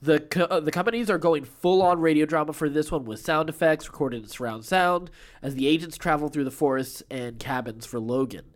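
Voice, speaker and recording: A man's voice: on the high side, fairly quick. A man hosting a podcast, reading entertainment news with informal, enthusiastic, chatty asides. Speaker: podcast host.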